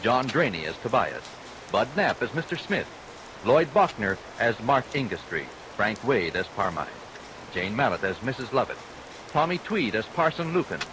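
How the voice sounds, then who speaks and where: Male radio announcer: medium pitch, lively intonation, formal and emphatic, period broadcast delivery. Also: moderate pace. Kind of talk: 1940s radio scripted credits announcement. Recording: hissy vintage radio recording, rain over it.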